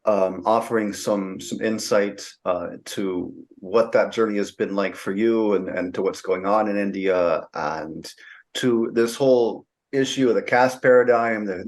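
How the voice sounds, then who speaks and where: A man from the United States hosting an interview, talking in earnest and rambling with repeated words. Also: fairly low pitch. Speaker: interview host. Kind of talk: interview conversation.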